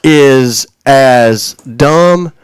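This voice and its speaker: Rambling man in his forties, smooth voice. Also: medium pitch, drawn-out words, dry recording, clean studio sound. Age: 40s. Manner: rambling, many restarts, chuckles often. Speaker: radio show host. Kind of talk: casual radio chat. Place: radio studio.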